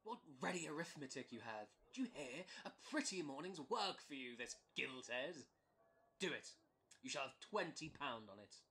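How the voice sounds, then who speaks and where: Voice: medium pitch, sing-song, moderate pace, pushed and bright. An actor playing a scheming, mock-courtly, goading swindler in an early modern comedy audio drama. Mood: needling, bossy. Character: scheming, fast-talking con man flattering gentlemen, bullying merchant.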